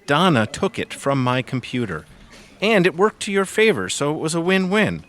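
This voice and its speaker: A man's voice: fairly high pitch, sing-song, normal speed, a bit bright. An actor playing a smug, conniving office underling in a comic audio drama, arch and insinuating as he gossips. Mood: sly, smug.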